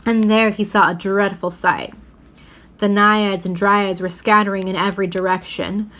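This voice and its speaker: A woman narrates a children's storybook at a medium pitch. She reads expressively, giving dramatic emphasis to key words as a read-aloud storyteller would. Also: level tone, normal speed, a bit bright. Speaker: reader reading a children's novel aloud.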